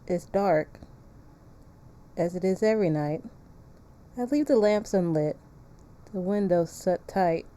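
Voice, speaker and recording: Woman reading as a slow, morbidly devoted gothic painter. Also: lowish voice, mellow. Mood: grim, hushed. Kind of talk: dramatic visual novel reading.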